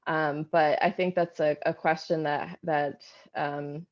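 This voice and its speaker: A woman philosopher on a panel, answering questions thoughtfully with frequent pauses and fillers over a video call. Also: low-pitched, level tone, dull audio. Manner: thoughtful, halting, many fillers.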